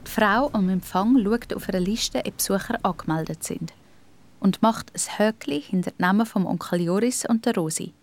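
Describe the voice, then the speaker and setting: A woman's voice: mid-range voice, normal speed. A woman with a Swiss German accent narrating a scripted audio story for children.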